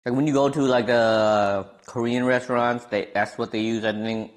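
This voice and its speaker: A man's voice: medium pitch, normal speed. A young man from Wisconsin with a Midwestern accent, chatting casually with his stream viewers, rambling with lots of fillers. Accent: Midwestern American. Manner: laid-back, rambling, lots of fillers, animated when amused.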